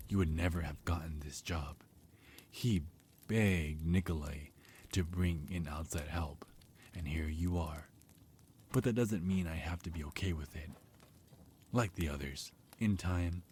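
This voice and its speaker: Casting: man to play hostile mansion vampire, low voice, scornful and threatening, for ASMR audio drama. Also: a little mellow, slight background noise. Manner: bitter, menacing, contemptuous. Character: hostile, resentful male vampire of a mansion household. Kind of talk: ASMR roleplay acting.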